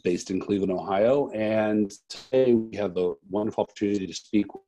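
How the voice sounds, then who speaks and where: A man hosting an unscripted interview, speaking haltingly and rephrasing his questions as he goes. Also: on the low side, mellow, rough recording. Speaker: interviewer and festival host.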